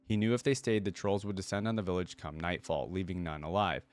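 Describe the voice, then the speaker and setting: A man's voice: low-pitched, fairly even intonation, a little fast. A man hosting a reaction video, reading stories aloud and commenting informally, with exclamations and rephrasing as he goes.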